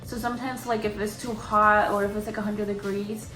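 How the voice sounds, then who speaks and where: A woman's voice: mid-range voice, level tone, fairly quick, a bit bright, faint music behind. Chatty young woman with a Boston accent. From Boston area. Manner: chatty, lots of "like" fillers. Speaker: vlogger. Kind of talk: casual Q&A vlog. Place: her apartment. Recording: roomy echo of a home room.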